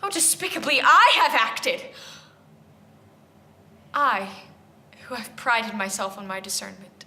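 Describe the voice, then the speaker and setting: A woman playing a proud young Regency gentlewoman humbled by her own folly, in a formal, self-reproaching stage monologue. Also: mid-range voice, steady tone, measured pace, creaky, pitched below the performer's own voice. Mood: ashamed, indignant.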